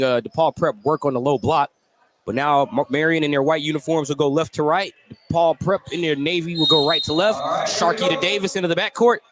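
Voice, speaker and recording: A man's voice: on the high side, fairly bright tone, slight background noise. A man working as play-by-play announcer, giving live basketball commentary on the radio.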